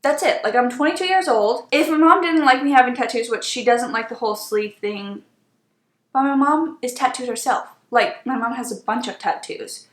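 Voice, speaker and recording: A woman's voice: on the high side, moderate pace. A woman in her twenties telling stories in a casual, chatty and exasperated way as a beauty vlogger doing her makeup. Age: 20s. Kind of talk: casual story time while doing makeup. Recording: echoey room.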